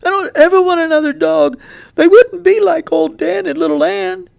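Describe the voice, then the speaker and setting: A man reading a story aloud, voicing a grieving, stubborn young boy with a choked, pleading delivery pushed up high. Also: steady tone, normal speed, vocal fry, exaggerated voice. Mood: defiant.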